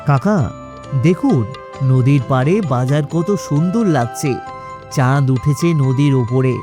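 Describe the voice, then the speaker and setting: A man's voice: medium pitch, a little slow, music in the background, natural voice. A man playing a brave, principled young village leader in a cartoon, firm and rousing in his speech. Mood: hopeful. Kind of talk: cartoon acting.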